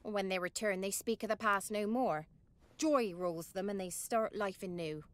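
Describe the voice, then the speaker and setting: A woman's voice: medium pitch, normal speed, no room sound, close to an everyday voice. A woman playing a solemn village attendant to a seeress in a fantasy game, speaking formally and sternly.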